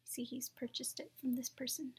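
High, husky young woman reading softly. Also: fairly even intonation, moderate pace, whispered. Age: young adult. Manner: soft, hushed, breathy, gently questioning. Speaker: Montessori teacher reading picture books. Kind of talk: children's story time, narrating picture books. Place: small room at home, indoors.

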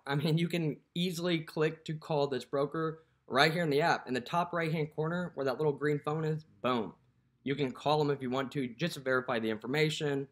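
A man's voice: medium pitch, a bit bright. A young man, a dispatcher, talking casually to the camera through an app walkthrough, with lots of "you know" fillers, recorded close up in a dim indoor room.

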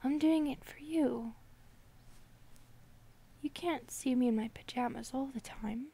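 A woman playing an affectionate, playful, shy centipede monster girlfriend in an ASMR roleplay, soft, breathy and intimate. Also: high-pitched, measured pace, heavily acted. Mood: sleepy, pleading. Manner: intimate, playful, lightly teasing.

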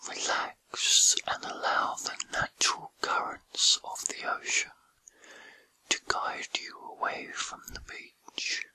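A man whispering a guided meditation in a soothing, gentle, breathy ASMR style with unhurried pauses. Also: poor audio.

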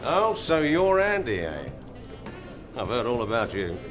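An actor playing a menacing ex-convict pub landlord, speaking in a sly, needling way. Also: male voice, mid-range voice, huge pitch swings, measured pace, music in the background. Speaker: pub owner. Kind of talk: TV drama acting.

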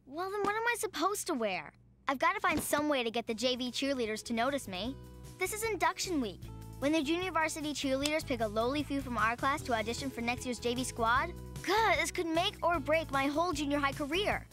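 A woman's voice: high-pitched, animated, a little fast, a bit bright, soft background music. A woman playing an earnest, insecure twelve-year-old girl in a cartoon, dramatic and concerned with being cool. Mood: eager, determined. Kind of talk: animated series dialogue.

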